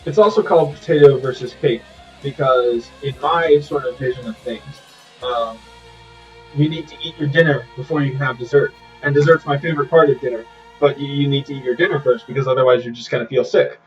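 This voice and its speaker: A man's voice: mid-range voice, a little fast, soft background music. Man, podcast co-host, laid-back musing, a bit distant from the mic, dull audio. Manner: laughing, reflective, uses food comparisons. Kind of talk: casual chat.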